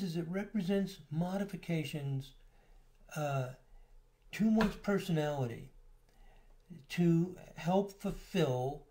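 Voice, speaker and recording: A man giving a relaxed, explanatory lecture over slides, now and then pausing on filler words. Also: on the high side. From United States. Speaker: astrology lecturer.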